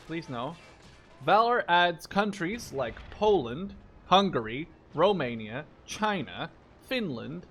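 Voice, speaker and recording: A man's voice: fairly high pitch, fairly bright tone, a little hiss or hum. A man in his twenties from the Netherlands, a native Dutch speaker, giving casual, rambling and informal commentary over gameplay. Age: 20s. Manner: rambling, digressive, informal with mild swearing. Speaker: gaming video creator.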